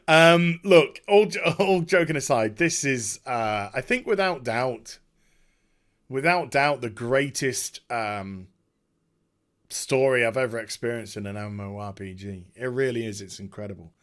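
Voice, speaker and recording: A man talking casually and off the cuff as a video host, emphatic and excitable, often halting and repeating his words. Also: mid-range voice. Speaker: video host giving a game story discussion. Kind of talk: unscripted casual rambling commentary.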